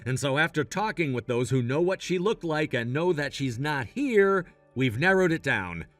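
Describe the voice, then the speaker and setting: A man's voice: fairly high pitch, animated, moderate pace, fairly bright tone, faint music behind. A man playing a supportive, take-charge young groom in a scripted story drama, speaking earnestly and reassuringly. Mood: explaining. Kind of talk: scripted melodrama story dub.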